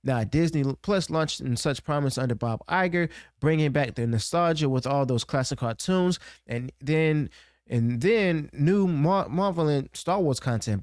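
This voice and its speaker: A man's voice: on the high side, dry recording, studio quality. A man giving opinionated, unscripted commentary, punctuating his points with a questioning "okay?". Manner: emphatic, keeps tagging points with "okay?" and "now"; loose and unscripted, with restarts. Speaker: online commentary host.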